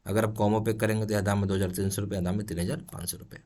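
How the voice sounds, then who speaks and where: A man's voice: on the low side, little pitch movement, very fast, a little mellow. A man with an Indian accent giving an explanatory exam lesson, reading questions aloud and often checking that listeners follow. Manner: instructional, checks in with frequent tag questions. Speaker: exam coaching teacher.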